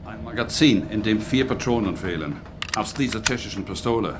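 An actor playing a matter-of-fact investigator in a radio drama, presenting evidence in halting fragments. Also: male voice, on the low side, expressive, lightly acted. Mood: businesslike. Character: male investigator briskly presenting evidence on site. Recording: loud background noise and ambience.

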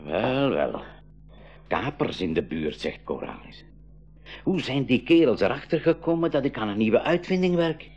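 Flustered, husky old professor. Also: male voice, mid-range voice, very animated, normal speed, a little mellow, highs cut off. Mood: worried. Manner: stammering, exclaiming, repeating himself. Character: flustered, absent-minded older professor uncle, easily startled. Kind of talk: radio play acting. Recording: 1980s radio play with music.

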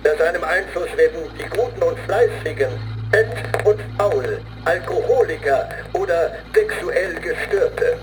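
An actor playing a grim zealot who recites a training manual deliberately, like an incantation, in a radio play. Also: male voice, sits high, background noise. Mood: solemn, ominous. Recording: studio radio play with music underneath. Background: music.

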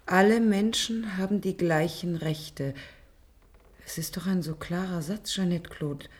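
A woman playing an earnest, obedient female test subject in a radio play, reciting deliberately and precisely. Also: on the low side, lightly acted. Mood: solemn, earnest. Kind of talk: German radio play acting.

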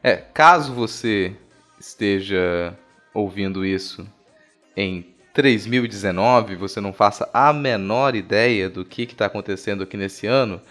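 A man's voice: fairly low pitch, a little slow, fairly bright tone, faint music behind. A Brazilian man hosting a podcast, talking informally with a wry, irreverent manner. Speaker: podcast host.

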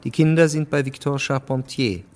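A man's voice: mid-range voice, normal speed, darkish tone, lightly acted. A man narrating a historical radio drama in a sober, scripted style.

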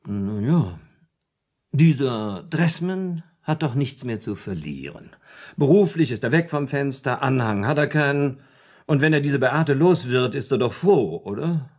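Shrewd haggler, male, husky mid-pitched voice, deliberate, persuasive, radio drama. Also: big pitch swings, a little mellow. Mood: scheming. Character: shrewd, haggling man called Hubert. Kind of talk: German radio play dialogue.

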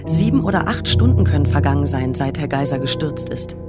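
A woman playing a pensive narrator in a literary radio play, telling the story in a deliberate, measured way. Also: deep voice, expressive. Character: pensive female narrator observing a forgetful man. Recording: music under the voice. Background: music.

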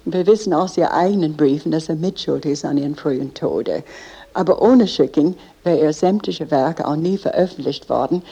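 Female tour guide with a foreign accent, earnest and explanatory, deep for a woman and mellow. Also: moderate pace, gravelly, husky.

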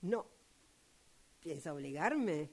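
A woman acting in a radio drama workshop as a defiant but easily frightened character who speaks haltingly and repeats her words. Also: low-pitched, lively intonation, full character voice. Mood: scornful, defiant.

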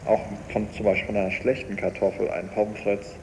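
A man's voice: lowish voice, level tone, brisk, darkish tone. A man speaking casually and hesitantly, searching for his words as he muses in an interview. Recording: faintly noisy cassette radio recording.

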